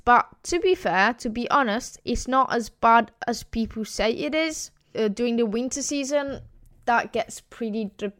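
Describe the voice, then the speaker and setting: A woman's voice: a little high, a bit bright, clear, resonant tone. Chatty Italian woman hosting a podcast. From Italy. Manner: chatty, enthusiastic, laughs between thoughts. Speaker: podcast host. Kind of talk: casual podcast monologue. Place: home in Wales.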